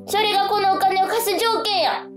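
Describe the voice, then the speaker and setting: High-voiced earnest Kansai girl. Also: fairly even intonation, normal speed. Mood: caring, firm. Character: earnest, caring young daughter, wise with money. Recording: echoing, with clear music behind.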